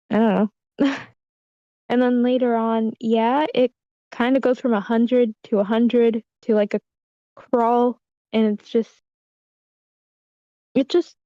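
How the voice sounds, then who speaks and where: A woman's voice: a little high, mellow, no echo. A woman giving casual feedback in an online meeting, tentative and giggly, often trailing off. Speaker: table-read participant giving script feedback. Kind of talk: casual spoken critique. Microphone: online meeting call. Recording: dull lo-fi online meeting audio.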